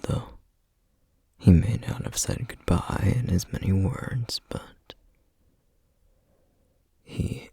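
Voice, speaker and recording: Man acting a low, whispering, solemn flame demon. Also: mellow, dry recording, clean studio sound, full character voice. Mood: somber, tender. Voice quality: breathy, husky whisper with gravelly edges. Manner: slow, halting, measured delivery with heavy pauses. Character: solemn, weary male flame demon bound by duty. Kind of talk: ASMR roleplay acting, whispered. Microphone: close microphone, dry sound.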